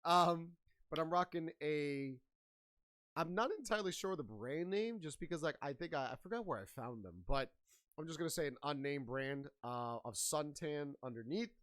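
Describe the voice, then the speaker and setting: A man's voice: on the high side, expressive, normal speed, a bit bright, clear, resonant tone. A man hosting a casual podcast chat in a hyped, slangy, playful way. He sounds a bit nasal and congested while getting over a sinus infection. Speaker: podcast host.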